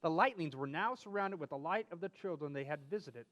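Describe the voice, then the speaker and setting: A man's voice: on the high side, expressive, a little fast, fairly bright tone, muffled recording. A man reading a children's story aloud expressively as a co-host, with playful asides.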